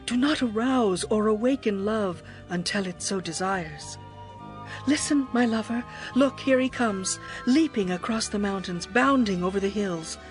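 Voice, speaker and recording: An actress playing a young, lovestruck bride, yearning and adoring, in a tender, poetic dramatized scripture reading. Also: female voice, mid-range voice, natural voice. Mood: eager, joyful. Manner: expressive, poetic, tender delivery.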